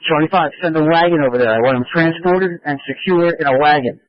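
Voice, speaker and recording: Man, high voice, rapid curt orders, lo-fi police radio. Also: dry recording. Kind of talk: radio commands.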